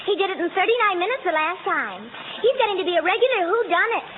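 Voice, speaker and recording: An actress playing a chirpy, matter-of-fact office secretary in a 1940s radio comedy. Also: female voice, extremely high pitch, expressive, fast. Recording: muffled, very noisy vintage radio with audience.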